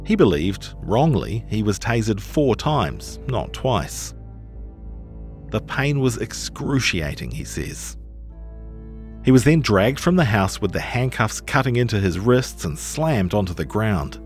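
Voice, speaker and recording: A man's voice: fairly low pitch, sing-song, a little slow, faint music behind. A man with a New Zealand accent reading scripted journalistic narration for a podcast. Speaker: narrator of a long-read podcast.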